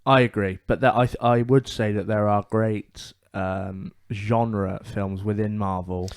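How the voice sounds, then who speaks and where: A man co-hosting a podcast in casual discussion, agreeable and measured, often hesitating as he puts thoughts together. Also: lowish voice, fairly even intonation, clean tone, no room sound, clean studio sound. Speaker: podcast co-host.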